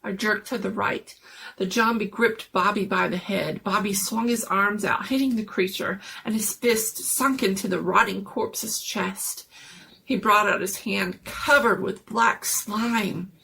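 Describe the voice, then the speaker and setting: A woman's voice: medium pitch, steady tone, measured pace, creaky, pushed and bright, slight background noise, rough recording. A woman giving a dramatic, suspenseful scripted narration of a scary story.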